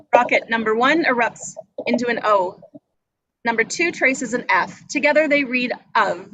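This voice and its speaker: Woman, higher-pitched, scripted screenplay narration, stage directions, slight background noise. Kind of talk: reading screenplay descriptions aloud.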